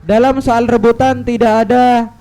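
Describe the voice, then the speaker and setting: A man with a high but mellow, soft-edged voice reads competition rules aloud at a school event. His delivery is measured, even and formal, like someone going through a printed sheet line by line. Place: event hall at an Islamic boarding school.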